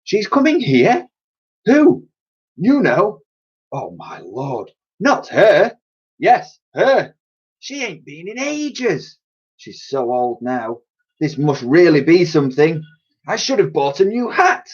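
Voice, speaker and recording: A man voicing excitable, nosy Victorian crowd members swapping muttered, exclamatory gossip in a storybook. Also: fairly high pitch, slow pace, slightly rough, dull audio, pitched above the performer's own voice, full character voice. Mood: excited gossip.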